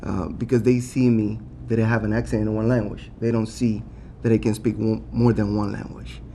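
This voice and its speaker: Earnest Spanish-accented man, low gravelly voice. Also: little pitch movement, warm tone, a little hiss or hum. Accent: Latin American Spanish. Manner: earnest, halting, with many fillers and restarts. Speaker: city council candidate. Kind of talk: candidate forum answers.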